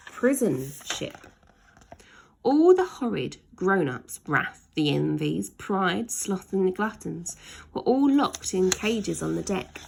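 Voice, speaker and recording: A woman narrates a children's storybook expressively. Her pitch moves freely, her pace is slow, and her voice has a creaky grain. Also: a little hiss or hum. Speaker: storyteller reading a children's book.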